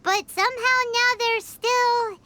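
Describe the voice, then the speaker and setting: A woman voicing a tiny, excitable, childlike fairy companion in a video game, chirpy and cheeky. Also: takes it slowly, heavy background noise. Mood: baffled, cheeky. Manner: chirpy, squeaky, refers to herself by name. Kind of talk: video game character voice acting. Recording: music behind the voice.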